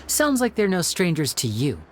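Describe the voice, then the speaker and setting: A man voicing a suave, confident young magician in an anime game, smooth, theatrical and assured. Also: sits high, huge pitch swings, normal speed. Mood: determined, assured. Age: young adult.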